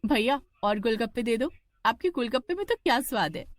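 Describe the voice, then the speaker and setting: A woman's voice: a little high, lively intonation, fairly quick, no room sound, voice pushed up, close to an everyday voice. A woman voicing a scheming, demanding village woman with a dramatic, indignant manner in a cartoon story. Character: scheming, shrill village woman falsely accusing a snack seller.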